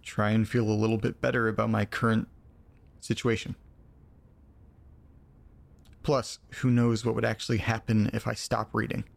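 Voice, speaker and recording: An actor playing a lonely, self-deprecating shut-in, talking in a hesitant, wry, confessional way in an audio drama. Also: male voice, lowish voice, little pitch movement, normal speed, gravelly, long pauses. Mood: resigned.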